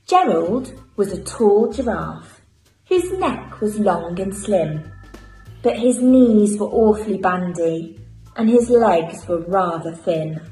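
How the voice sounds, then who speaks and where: Woman teacher reading a kids story, expressive, faint music behind. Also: mid-range voice, roomy sound, poor audio. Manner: clear, expressive, unhurried storytelling. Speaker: primary school teacher. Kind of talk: reading a picture book aloud to children.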